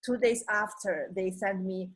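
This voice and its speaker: A woman from China with a Chinese accent, speaking halting, non-native English full of restarts as a job applicant on a video call. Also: medium pitch, steady tone, slightly rough. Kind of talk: conversational English practice.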